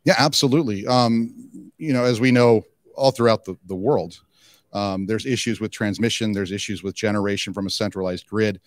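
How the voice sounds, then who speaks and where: Low-voiced middle-aged man in an interview. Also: normal speed, dry recording. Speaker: company executive being interviewed. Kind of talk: conversational trade-show interview. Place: indoor interview booth at a conference. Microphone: handheld microphone.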